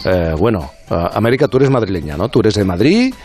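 A man's voice: fairly low pitch, big pitch swings, brisk, a little mellow. A man from southern Spain hosting a radio show, chatting casually and laughing often with his guests. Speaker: radio host.

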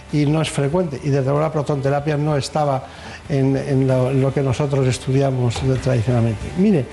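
A male radio host with a fairly high, mellow voice. He presents and questions conversationally, circling back over his phrases, with faint music behind him. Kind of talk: health interview with introductory narration.

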